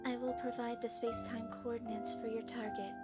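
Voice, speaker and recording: A woman voicing a stoic, emotionless alien girl in an anime fan dub, deadpan, formal and precise. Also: on the high side, a little fast, loud music behind the voice, lightly acted. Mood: calm, clinical.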